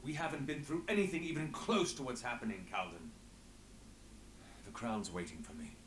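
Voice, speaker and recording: An actor playing a forceful, insistent fantasy leader under strain in a video game, intense and commanding. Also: male voice, mid-range voice, normal speed, roomy sound, background noise, close to an everyday voice.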